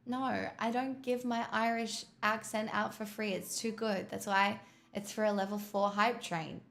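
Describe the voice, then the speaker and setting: Slow-talking chatty woman streamer. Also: medium pitch, steady tone, creaky, fairly bright tone. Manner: chatty, rambling, talks to chat. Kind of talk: casual chat with stream viewers.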